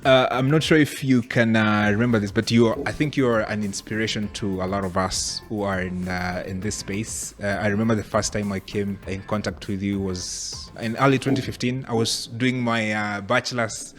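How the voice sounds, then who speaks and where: A man hosting a podcast interview, relaxed and casual, pausing often with fillers. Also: on the low side, soft background music. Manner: relaxed, frequent filler pauses. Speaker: podcast host.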